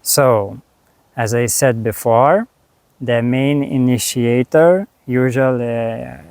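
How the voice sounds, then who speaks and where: A man with a Lithuanian accent, a spiritual teacher talking slowly and haltingly to camera about his course, with many pauses and restarts. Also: medium pitch, creaky, darkish tone, clean tone, no echo. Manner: unhurried, halting, frequent fillers and restarts. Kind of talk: reflective monologue.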